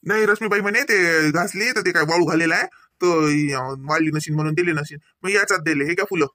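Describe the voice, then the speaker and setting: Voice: mid-range voice, a little fast, bright, forceful tone, dry recording, studio quality, natural voice. An actor voicing an eager, polite, easygoing college-age young man in a comedy cartoon, speaking colloquial Marathi with a Varhadi accent. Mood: helpful. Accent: Varhadi Marathi from Vidarbha. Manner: colloquial, obliging, reassuring.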